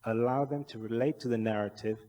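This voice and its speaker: A man's voice: medium pitch, fairly even intonation, fairly quick, warm tone. A man from Malaysia giving a persuasive conference talk, putting rhetorical questions to his audience. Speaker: conference speaker, kennel federation official. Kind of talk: conference presentation. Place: large indoor conference hall. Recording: echoey hall with long reverb.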